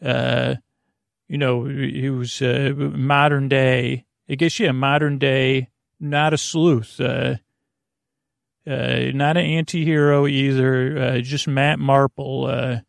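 A man's voice: mid-range voice, little pitch movement, takes it slowly, vocal fry, no room sound, studio quality. A man hosting a bedtime podcast, rambling in a goofy, meandering way with many fillers and restarts. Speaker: podcast host.

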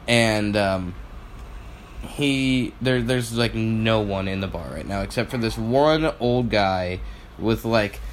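A man's voice: fairly low pitch, takes it slowly, a little hiss or hum. Casual young man swapping stories. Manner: profane, filler-heavy storytelling. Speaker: podcast co-host. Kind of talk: casual chat.

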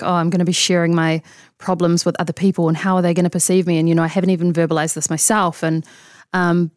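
A woman's voice: fairly low pitch, dry recording, studio quality. A woman in her thirties from New Zealand with a New Zealand accent, a coach talking casually and reflectively in an interview, with many fillers. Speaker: guest coach.

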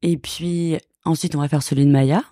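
Astrologue posée, pleine d'hésitations. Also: female voice, deep voice, steady tone, moderate pace, mellow, no echo, clean studio sound. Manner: hesitant, many filler sounds, explaining carefully. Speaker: guest astrologer. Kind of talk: explanatory talk.

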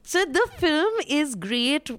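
A woman with an Indian English accent, co-hosting a casual film chat, talking fast and laughing often with sarcastic asides. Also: high voice, sing-song, a bit bright. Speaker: co-host reviewing films.

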